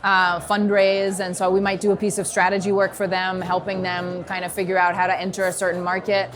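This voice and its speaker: A woman's voice: fairly low pitch, monotone, fairly quick. A woman from New York with an American accent, talking fluently as an interview guest with plenty of "you know" fillers. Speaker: strategy consultant, guest. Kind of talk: conversational interview. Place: busy event venue in a container.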